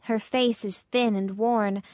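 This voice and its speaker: A woman voicing a gentle, sorrowful statue of a dead young prince in a storybook, solemn and musical. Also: mid-range voice, steady tone, no room sound, clean studio sound, lightly acted. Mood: tender, solemn. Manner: solemn, measured, wistful. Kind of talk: storybook character reading.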